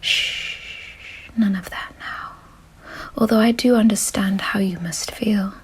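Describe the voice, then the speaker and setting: A woman voicing a gentle, refined, doting vampire mistress in a soothing, softly possessive ASMR roleplay whisper. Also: mid-range voice, steady tone, slow pace. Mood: calm explaining. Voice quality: breathy, husky whisper.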